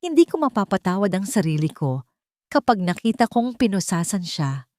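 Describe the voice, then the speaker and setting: A woman's voice: medium pitch, big pitch swings, normal speed, a little mellow, dry recording, studio quality. A woman with a Filipino accent narrating a scripted romance story in a dramatic storytelling style.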